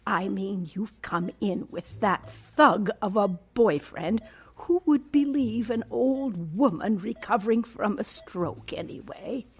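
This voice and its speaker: Elderly rich lady, slow deliberate halting speech, breathy, coldly condescending, quietly menacing, audio drama. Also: mid-range voice, lively intonation, full character voice. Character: cold, genteel old rich woman recovering from stroke, quietly menacing.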